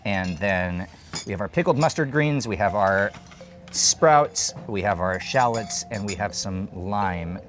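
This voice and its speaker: A man's voice: fairly low pitch, animated, unhurried, fairly bright tone, soft background music. A man in his thirties hosting a food show, chatting and explaining dishes while eating at an open-air stall, on a lapel mic. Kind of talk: casual food chat and explainer.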